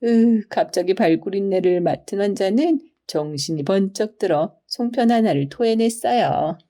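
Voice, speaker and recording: A woman narrating scripted bedtime folktales for children in an expressive storyteller's manner. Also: medium pitch, moderate pace. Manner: storyteller's expressive, polite explaining delivery.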